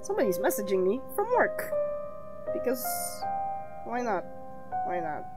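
A woman's voice: mid-range voice, sing-song, a little slow, loud music behind the voice. A woman giving casual, sardonic commentary as she streams a game. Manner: sardonic, wry.

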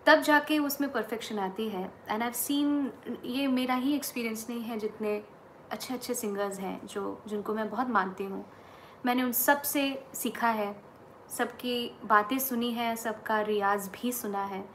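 A woman's voice: fairly high pitch, a little slow, a bit bright, a little hiss or hum. A woman in her thirties with an Indian accent, a singer giving thoughtful, earnest advice on practice, talking casually at a webcam in a small room. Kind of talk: casual talk mixing Hindi and English. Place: small indoor room against a plain wall.

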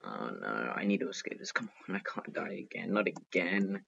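A man's voice: deep voice, big pitch swings, normal speed, vocal fry, fairly bright tone, slightly rough. Chatty male gamer on a dull mic. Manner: chatty, talking to himself, repeats phrases. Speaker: gaming video host. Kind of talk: excitable gameplay commentary. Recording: dull, lo-fi microphone.

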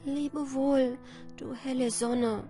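A woman voicing a tiny, sad, gentle storybook girl, speaking wistfully. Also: high voice, fairly even intonation, slow, soft background music, voice pushed up.

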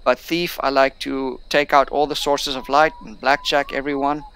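Bilingual man with a smooth voice. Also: medium pitch, little pitch movement, normal speed, fairly bright tone. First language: not English. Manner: reads on-screen tutorial text aloud, then chats. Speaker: gameplay streamer. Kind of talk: casual let's-play commentary.

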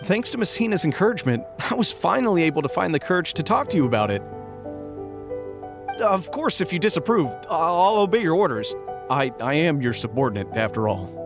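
A man's voice: on the high side, big pitch swings, a little fast, over music. A man playing a nervous young soldier in a video game, hesitant, formal and deferential. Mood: nervous, earnest. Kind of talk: scripted video game voice acting.

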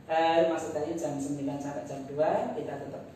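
A woman on the library staff, chatting casually and a bit quickly as she interviews a guest. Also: deep voice, steady tone, warm tone, distant mic. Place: seated in a library lobby, indoors. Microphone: far camera. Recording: echoey room with room noise.

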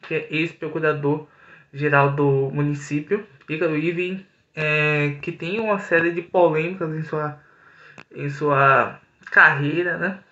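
Young guy talking football into his webcam, bright voice, roomy echo, kinda muffled. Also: a little high, steady tone, measured pace. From Brazil. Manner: earnest, frequent hesitations and restarts. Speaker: football commentator. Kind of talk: solo football analysis to camera. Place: small indoor room. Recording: echoey room, slightly dull audio.

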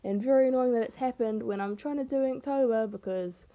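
Hoarse teenage girl chatting casually. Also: fairly high pitch, a little fast, muffled recording. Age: teens. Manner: chatty and self-deprecating, rambling with fillers. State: rough, hoarse voice. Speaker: art vlogger. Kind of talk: casual voice-over on a speed paint. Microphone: camera mic.

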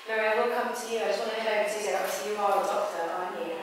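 A woman's voice: mid-range voice, steady tone, racing. A woman moderating a panel, chatty and reading out statistics. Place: large hall with audience. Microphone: far room camera. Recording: echoey hall from far off, noisy.

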